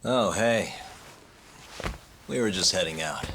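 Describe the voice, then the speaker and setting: A man playing a dogged, cocky investigator in a dramatic video game cutscene, speaking in a measured, earnest and needling way. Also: deep voice, expressive, a bit bright, slight background noise, heavily acted. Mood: mocking, defiant. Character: dogged male investigator, calm, cocky and accusing. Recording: music behind the voice.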